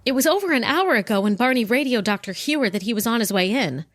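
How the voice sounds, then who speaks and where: An actress playing a worried, loyal young heroine in a comedy audio drama. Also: female voice, fairly high pitch, lively intonation, fast talker, a bit bright, dry recording, faint music behind. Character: loyal anxious young woman Wilma, sidekick.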